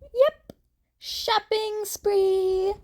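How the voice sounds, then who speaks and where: Woman playing a high, bubbly, excitable teenage girl. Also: drawn-out words, full character voice. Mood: thrilled. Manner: calling out, cheering, enthusiastic. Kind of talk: fan fiction audiobook character voice.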